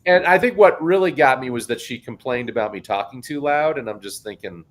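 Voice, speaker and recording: A middle-aged man co-hosting a podcast in casual chat, talking in a big loud voice. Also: mid-range voice, brisk. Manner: animated, self-described loud talker with a big voice. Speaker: podcast co-host.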